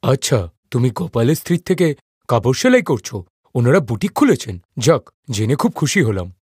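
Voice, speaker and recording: A man playing a calm, kindly middle-aged father in an animated story, husky and easygoing. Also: medium pitch, normal speed, no echo, clean studio sound. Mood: pleased. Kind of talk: Bengali animated moral story acting.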